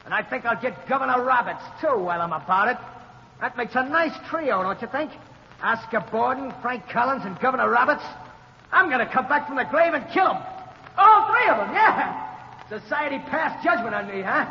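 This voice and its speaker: A man's voice: very high-pitched, animated, moderate pace, vocal fry, in a large hall, exaggerated voice. A male actor playing a defiant, menacing condemned gangster with an American accent and slangy tough talk, in 1930s radio style. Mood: menacing, mocking. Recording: dull, hissy 1930s radio recording.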